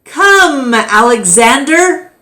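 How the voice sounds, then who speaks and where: A woman acts out a solemn old keeper of the flame from a children's storybook. He proclaims grandly and kindly at an unhurried pace, with the echo of a room around the voice. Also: high voice, big pitch swings, bright, forceful tone, voice pushed up, full character voice. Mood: grand, proclaiming. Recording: echoey room.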